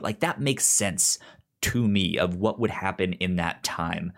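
A podcast co-host of unclear gender, chatting casually about fiction and often stammering over repeated words and syllables. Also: deep voice. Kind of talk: casual comic and film discussion.

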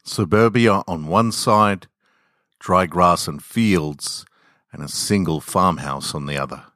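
Male story narrator: low register, unhurried measured delivery, dramatic scripted prose, very dry sound. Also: bright, forceful tone, dry recording. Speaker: podcast host reading short stories.

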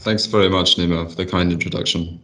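A man with a deep voice talks quickly and with very little pitch movement. He is an expert podcast guest, articulate and polite, setting out his analysis in formal language. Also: steady tone, a bit bright. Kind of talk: measured analytical discussion.

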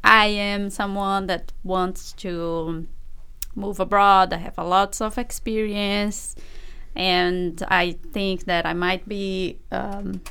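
Brief: female podcast host, very clear voice, steady and careful phrasing. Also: mid-range voice, slow. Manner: careful, searching for words in a second language. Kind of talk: casual podcast interview.

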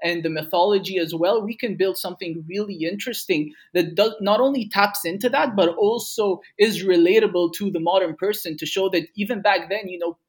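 A man's voice: high-pitched, a little fast, few pauses, husky. A young man from Egypt, a game studio co-founder, telling stories in casual conversation with many fillers. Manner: relaxed storytelling with frequent fillers.

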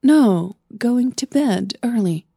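A woman voicing a guarded, hesitant young singer in a hushed, breathy way for an audio drama. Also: fairly high pitch, lively intonation, slow pace, no room sound, clean studio sound, pitched above the performer's own voice, exaggerated voice. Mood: subdued, evasive.

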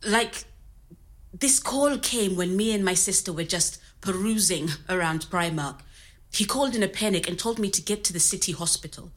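A woman's voice: medium pitch, moderate pace, natural voice. An actress playing a candid, resilient woman, telling her story conversationally. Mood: reflective, grateful. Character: Zimbabwean woman immigrant in Nottingham, candid and resilient. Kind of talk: scripted oral-history audio drama told as interview.

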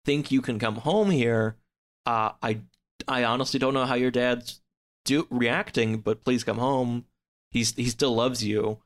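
A man in a casual podcast chat, recapping a story in a halting, rambling way full of filler words. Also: mid-range voice, fairly even intonation. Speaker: podcast guest.

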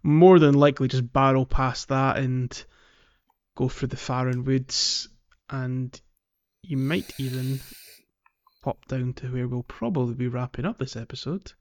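A man's voice: mid-range voice, steady tone, slow pace, dry recording. A man co-hosting a podcast, chatting casually. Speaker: podcast co-host. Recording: slightly dull lo-fi microphone.

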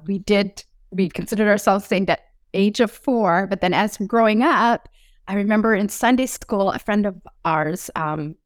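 A woman's voice: medium pitch, no room sound, clean studio sound. A middle-aged woman from Newfoundland, Canada, giving a personal testimony as an interview guest. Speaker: podcast host as interview guest.

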